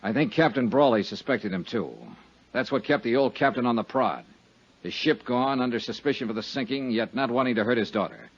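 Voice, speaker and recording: A man's voice: mid-range voice, a little fast, slightly rough, lightly acted. An American man playing a hard-boiled, brooding and self-assured insurance investigator in a 1950s radio detective drama. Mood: insistent. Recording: dull, muffled 1950s radio recording.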